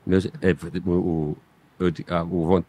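A man's voice: sits low, unhurried. A middle-aged man from Minas Gerais with a slight Minas Gerais accent, a podcast guest who owns a bike shop, chatting casually with hesitant restarts. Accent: slight Minas Gerais Brazilian Portuguese. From Minas Gerais, Brazil. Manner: relaxed, hesitant, many restarts. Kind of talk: casual interview chat.

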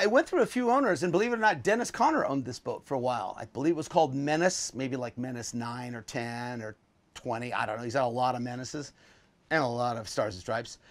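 A man in his sixties giving a casual, offhand, opinionated tour as a video host, recorded inside a small boat cabin. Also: high voice.

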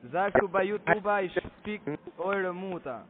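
Mann, hohe Stimme, gebrochenes Deutsch am Telefon. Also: male voice, high voice, a little slow, slight background noise. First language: not German. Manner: broken German, evasive, vulgar when pushed. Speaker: scam call-center agent. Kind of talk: phone sales call. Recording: tinny phone line.

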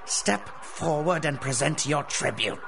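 An actor playing a menacing, mock-merciful lord of the rats in a radio drama, pompous and taunting. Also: male voice, a little high, lively intonation, creaky, noisy background. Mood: patronizing menace. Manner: pompous, taunting, drawn-out questioning. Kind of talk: 1930s-style radio drama acting.

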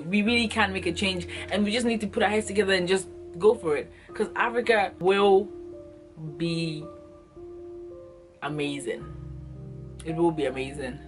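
A young woman from Ghana, talking to the camera in an earnest, emphatic way and repeating herself for stress, recorded close up in a small room. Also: mid-range voice. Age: young adult. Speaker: vlogger. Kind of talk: passionate direct-to-camera monologue. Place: small indoor room, plain wall. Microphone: camera in front at close range.

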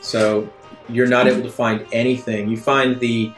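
A man narrating an improvised tabletop game as game master in a halting, conversational way. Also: mid-range voice, little pitch movement. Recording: echoey room, music underneath. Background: soft guitar music.

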